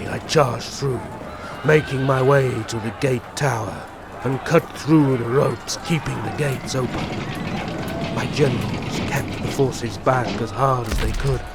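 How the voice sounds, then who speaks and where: A grave prince of legend, played by a man, tells his own tale in formal, dramatic fashion. His delivery is very slow with a heavy gravelly creak, set against clearly audible ambient noise. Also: mid-range voice, steady tone, lightly acted. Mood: solemn, steady. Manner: grave, measured, formal storytelling. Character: Vlad, male vampire prince solemnly recounting his past. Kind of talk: dramatic first-person audio drama narration.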